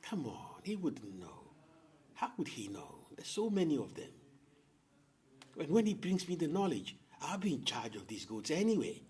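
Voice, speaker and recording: A man's voice: a little high, big pitch swings, normal speed, mellow, husky, heavily acted. A man voicing a cunning, hungry male leopard in an oral folktale, pleading and repeating his words. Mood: dreamy scheming.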